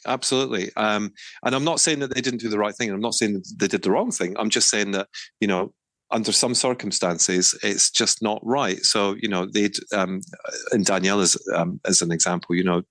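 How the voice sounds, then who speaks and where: A man's voice: lowish voice, steady tone, brisk, fairly bright tone, no echo. A middle-aged man co-hosting a podcast, talking in a thoughtful, hedging way with some teasing. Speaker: podcast co-host. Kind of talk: casual chat.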